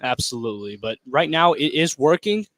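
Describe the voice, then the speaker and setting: A man's voice: a little high, big pitch swings, moderate pace, fairly bright tone. A man giving informal, opinionated color commentary on a basketball game, repeating himself for emphasis. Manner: casual, opinionated, emphatic repetition. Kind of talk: informal sports analysis. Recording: faint gym noise behind. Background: basketball game ambience.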